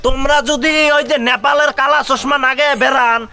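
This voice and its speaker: Man acting boastful village supporter, high bright voice, northern Bengali accent, argumentative, comedy skit, music behind. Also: steady tone, normal speed, gravelly, full character voice. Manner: argumentative, loud rhetorical questioning.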